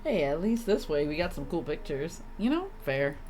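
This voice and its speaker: A woman's voice: low-pitched, sing-song, moderate pace, background noise. A woman giving casual live game commentary in a wry, blunt, slangy way. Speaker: game streamer.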